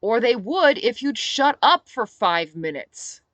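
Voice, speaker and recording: A woman's voice: a little high, very animated, takes it slowly, a bit bright, dry recording, pitched above the performer's own voice, heavily acted. A woman voicing a battle-weary, blunt rebel captain, a woman, in an audiobook story. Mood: exasperated. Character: weary, sharp-tongued woman rebel captain, tender with children.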